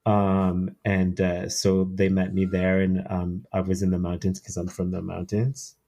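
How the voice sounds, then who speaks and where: Man, 30s, from Peru, very low voice, monotone, hesitant with lots of ums and restarts, podcast guest. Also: a little slow. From Peru, raised in Quebec, Canada. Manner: hesitant, many fillers and restarts. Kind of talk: casual interview storytelling.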